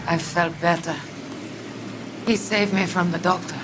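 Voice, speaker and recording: A woman's voice: on the low side, steady tone, close to an everyday voice. A woman playing a distressed woman in danger in a video game, anxious and pleading. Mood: shaken. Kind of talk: dramatic video game voice acting. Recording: clear rushing water noise.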